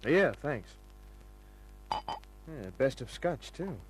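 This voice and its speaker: A man's voice: medium pitch, big pitch swings, very slow, faint music behind, rough recording. An actor playing a wry, slangy hard-boiled investigator who narrates his own case in an old-time radio drama. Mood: curious. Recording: old radio recording, faint hum.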